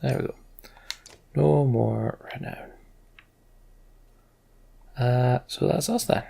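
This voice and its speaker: A man's voice: medium pitch, gravelly, dark tone, slightly rough. A man hosting a video game let's play, giving casual commentary as he plays.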